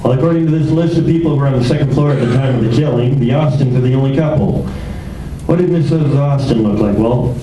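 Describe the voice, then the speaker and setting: Male actor as a wry, flirtatious radio gumshoe; very dark mellow tone, distant in a reverberant room. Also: medium pitch, fast talker, natural voice. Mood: wry, brisk. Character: breezy, flirtatious male private detective, sharp and unhurried. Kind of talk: 1940s radio detective drama acting. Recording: very echoey room, distant mic, faint noise.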